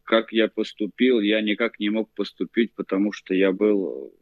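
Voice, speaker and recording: A man in his forties from Azerbaijan, a guest telling his life story in a casual interview, halting with many fillers. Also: lowish voice, steady tone, dry recording, narrow, tinny audio. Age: 40s.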